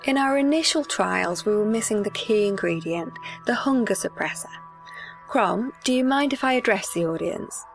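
A woman's voice: mid-range voice, expressive, moderate pace, gravelly, faint music behind. A woman playing a cheerfully callous corporate chief executive, speaking in a breezy, matter-of-fact way. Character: cheerfully callous female food-tube CEO. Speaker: guest. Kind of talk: comedic radio-show parody.

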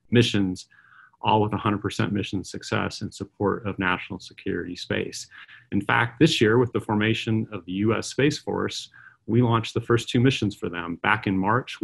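A middle-aged man presents a conference keynote as an aerospace executive. His voice sits low and has a gravelly fry. He talks in a measured way, often pausing on uh and um as he moves through his points. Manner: measured, with frequent uh and um fillers.

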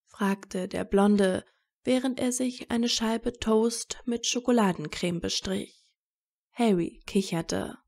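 Casting: female story narrator, mellow and relaxed, unhurried measured read of scripted fiction. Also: on the high side, creaky.

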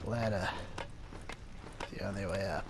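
Sarcastic gamer guy over loud gunfire. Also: sits low, steady tone, unhurried, noisy background. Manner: sarcastic, joking, mock-heroic. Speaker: gamer voicing the protagonist as a joke. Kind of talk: improvised comic gameplay commentary. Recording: loud gunfire and game sound behind. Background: game gunfire and ambience.

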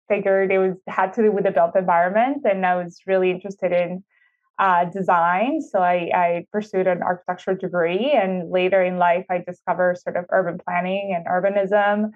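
A woman in her thirties, originally from Ecuador, answering interview questions in a chatty way with many fillers, speaking over a video call. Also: mid-range voice. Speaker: city sustainability official, podcast guest. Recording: tinny phone-like video call audio.

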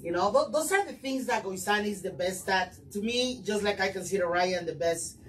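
A man's voice: sits high, lively intonation, fairly quick, fairly bright tone. A man hosting a casual interview in a small office studio, enthusiastic and full of praise, with plenty of fillers. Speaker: talk show host. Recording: distant mic with faint background noise.

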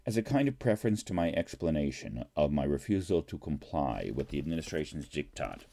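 Low-voiced older professor, formal and mellow. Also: male voice, sing-song. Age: older, 60s. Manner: formal, emphatic, deliberate phrasing with rhetorical questions. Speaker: college political science professor. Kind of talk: reading an open letter aloud with brief asides. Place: small home study lined with books. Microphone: webcam or computer mic at desk distance.

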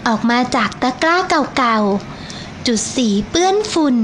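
A woman teacher narrating a children's storybook slowly and gently. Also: a little high, expressive, slight background noise. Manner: slow, gentle and clearly paced for children.

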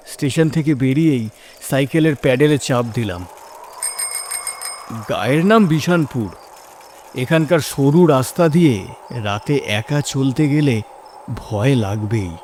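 A man's voice: medium pitch, unhurried, pauses often, darkish tone, a little hiss or hum. A man narrating a scripted first-person horror story in a dramatic, suspenseful manner.

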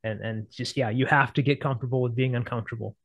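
A man in his forties hosts an interview from his home office, enthusiastic and affirming, in a mid-pitched voice. The video call sound is dry. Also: steady tone, quick pace, a bit bright, dry recording, lo-fi sound. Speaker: interviewer host. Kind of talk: casual interview talk. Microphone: earphone mic on a video call.

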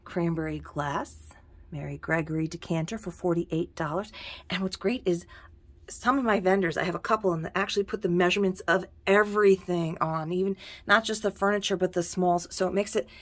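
A woman's voice: on the low side, steady tone, brisk. A middle-aged woman giving a chatty shop tour of her antique store, pricing pieces as she films with a handheld phone. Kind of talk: casual video shop tour. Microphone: handheld phone camera.

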